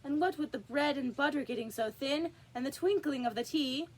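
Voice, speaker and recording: A woman's voice: high-pitched, moderate pace, pitched above the performer's own voice, natural voice. A woman voicing a miserable, nervous poor hatter in a storybook trial, anxious and pleading.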